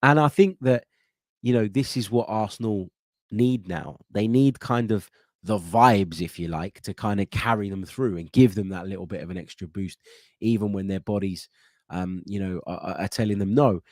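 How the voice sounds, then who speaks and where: A low-voiced man talks through a football preview in an easy, chatty way, stopping and restarting as he thinks. His nose is stuffed up. The sound is close and dry, with no room around it. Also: moderate pace, darkish tone, no room sound. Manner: thinking aloud, many fillers and restarts. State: congested, blocked nose. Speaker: football channel host. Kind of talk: casual match preview chat.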